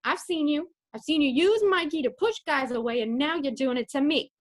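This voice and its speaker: Blunt, soft-hearted big-kid guy acted by a woman, high-pitched, comedic radio drama parody. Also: a little fast, close to an everyday voice. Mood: hurt, angry.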